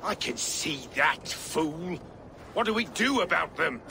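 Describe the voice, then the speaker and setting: A man's voice: on the high side, expressive, a little slow, gravelly, sharp tone, a little hiss or hum, full character voice. An actor playing a proud, defiant Saxon king in a video game, speaking with commanding scorn. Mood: scornful.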